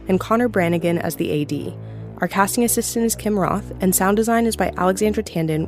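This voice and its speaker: Woman announcer over faint music. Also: medium pitch. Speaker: announcer reading credits. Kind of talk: scripted narration. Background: soft music.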